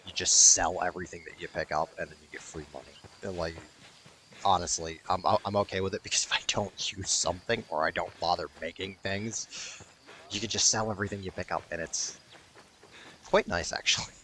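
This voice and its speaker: A man's voice: deep voice, bright, forceful tone, slight background noise. A man hosting a gaming video with casual, rambling, mildly profane unscripted commentary.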